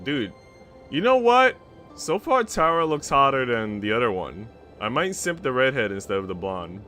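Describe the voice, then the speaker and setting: A man's voice: mid-range voice, pushed and bright. A man livestreaming, mixing slangy, rambling casual chat and swearing with reading a visual novel aloud. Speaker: game streamer.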